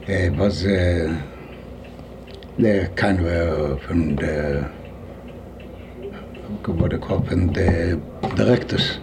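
Older man, Yiddish accent, halting speech, mellow dark tone. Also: low-pitched, a little hiss or hum. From Poland. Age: 70s. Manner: halting, searching for words, slipping into Yiddish. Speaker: interviewee. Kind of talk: oral history recollection.

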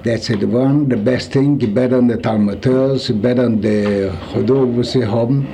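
A man in his seventies from Poland with a Yiddish accent, recalling his life in an interview, halting and searching for words. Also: medium pitch, steady tone, gravelly, dark tone, a little hiss or hum. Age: 70s. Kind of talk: oral history recollection.

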